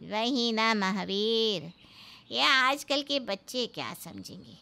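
Woman doing a high-voiced grumbling old village aunt. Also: expressive, takes it slowly, creaky, bright, forceful tone, exaggerated voice. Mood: grumbling, nostalgic. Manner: grumbling, folksy. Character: opinionated elderly village aunt, grumbling yet kindly.

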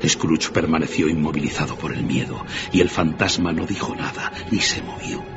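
A man narrating a radio drama as a grave storyteller, measured and dramatic. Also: extremely low pitch. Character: grave storyteller of a ghost tale. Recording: dull radio recording, music behind.

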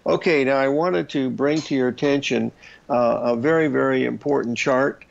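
A gravelly older man hosts a trading show from a small room, speaking through a headset in a casual, folksy manner. The sound is dull and lo-fi, like a remote call. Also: mid-range voice, fairly even intonation, moderate pace. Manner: folksy, chatty, calls listeners folks. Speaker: show host. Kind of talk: casual market chart talk.

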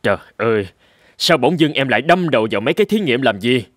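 A man's voice: medium pitch, lively intonation, fast talker, no room sound, studio quality, natural voice. A man voicing a stern, practical middle-aged surgeon in a cartoon. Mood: exasperated. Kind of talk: cartoon dubbing.

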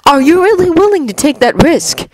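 A woman's voice: very high-pitched, very animated, normal speed, slight background noise, voice pushed up. A woman reading a fan fiction audiobook voices a cold, scornful male schemer who talks in a menacing, persuasive way. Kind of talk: audiobook character voice.

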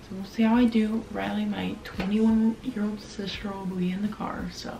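A young woman chatting casually and excitably as she films a travel vlog on a handheld camera. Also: mid-range voice, normal speed, creaky, heavy background noise. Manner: excitable, informal. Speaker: vlogger.

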